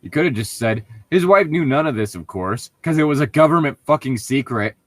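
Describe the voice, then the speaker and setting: A man's voice: medium pitch, animated, brisk, a bit bright, no echo, studio quality. A man reading a story aloud as a co-host, breaking off for sarcastic, irritable, profane commentary and casual banter. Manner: sardonic, irritable, profane heckling.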